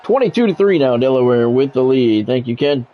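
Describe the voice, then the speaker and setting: A man calling live play-by-play of a football video game in measured, short clipped announcer phrases. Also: mid-range voice, lively intonation. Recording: dull lo-fi audio with music behind.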